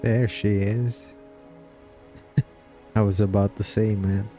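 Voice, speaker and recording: A man's voice: lowish voice, little pitch movement, takes it slowly, dark tone, soft background music. A man reacting casually to a video game as a streamer, blunt and offhand with some swearing. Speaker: gaming streamer.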